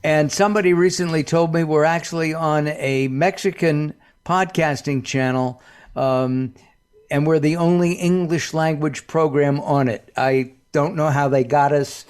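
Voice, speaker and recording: Higher-voiced male radio host. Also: fairly even intonation, unhurried, smooth. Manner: chatty, rambling, upbeat. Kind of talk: talk radio hosting.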